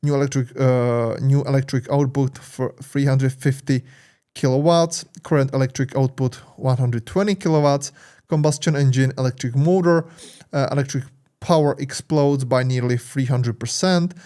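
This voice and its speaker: A man with a Czech accent, not a native English speaker, hosting a tech tutorial in a halting way, full of fillers and restarts, and upbeat about what he shows. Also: medium pitch, steady tone, mellow. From Czech Republic. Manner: enthusiastic. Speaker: tech channel host. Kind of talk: tutorial walkthrough, casual.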